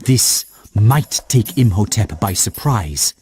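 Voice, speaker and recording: An actor playing a wise old warrior mentor in a cartoon game, speaking in a solemn, formal, measured way. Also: male voice, lowish voice, animated, darkish tone, slightly rough, heavily acted. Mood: grave, resolute. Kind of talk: animated cartoon game cutscene acting.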